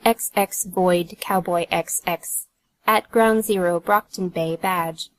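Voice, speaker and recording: A woman's voice: on the low side, steady tone, slow. Neutral woman reading audiobook narration. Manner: neutral, announcing. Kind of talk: scripted narration.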